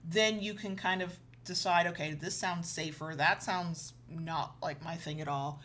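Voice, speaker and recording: A woman's voice: lowish voice, steady tone, unhurried, a bit bright, slight background noise. A woman co-hosting an educational show, giving conversational advice in an explanatory way with emphasis on key points. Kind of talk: conversational advice talk with a co-host.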